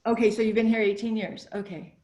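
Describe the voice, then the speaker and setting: A woman's voice: mid-range voice, level tone, fast, warm tone. A woman hosting a webinar, chatting casually beforehand and rambling with fillers and restarts. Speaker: webinar host.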